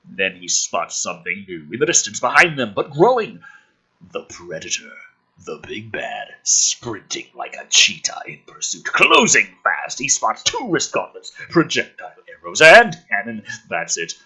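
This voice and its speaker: A man reads a script aloud in a bright, forceful voice with a slightly husky grain. His pitch leaps and dives theatrically, and he breaks off for sarcastic, sweary commentary. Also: wildly swooping pitch, a little slow, low-quality recording. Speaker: host reading a film script aloud with commentary. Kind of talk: dramatic script reading with sarcastic asides.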